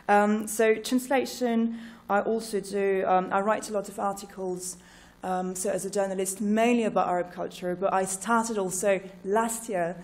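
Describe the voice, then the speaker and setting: A woman's voice: mid-range voice, a little mellow. Chatty Frenchwoman with a British-tinged accent. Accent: French, partly British-tinged English. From France. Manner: chatty, many fillers and "like". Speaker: researcher presenting her project. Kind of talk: informal project presentation.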